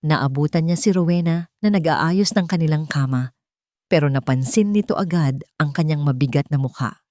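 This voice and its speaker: A woman's voice: low voice, normal speed, warm tone, no room sound, clean studio sound. A woman with a Filipino accent telling a scripted story as a dramatic narrator.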